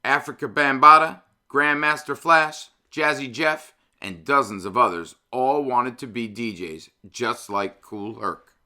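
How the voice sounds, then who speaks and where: A man in his fifties hosting a children's read-aloud, speaking expressively with emphatic, deliberate phrasing. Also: mid-range voice, bright, forceful tone. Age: 50s.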